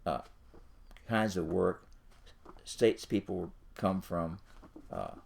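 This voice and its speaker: A man's voice: low-pitched, animated, slow, creaky, a little mellow, a little hiss or hum. A man giving an informal instructional talk as a coach, speaking directly to the listener and repeating his phrases. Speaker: ministry and business coach.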